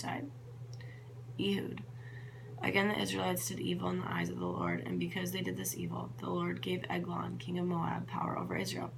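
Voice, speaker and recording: A woman's voice: lowish voice, gravelly. A woman reading scripture aloud, sometimes stumbling over names and correcting herself. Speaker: Bible reader. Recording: noisy with a steady hum.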